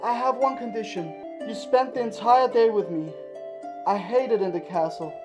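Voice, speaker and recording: A streamer plays a young princess in a puppet show, bored with castle life and easily smitten. The voice is soft and gentle. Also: medium pitch, moderate pace, lo-fi sound, music in the background, natural voice. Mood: coaxing.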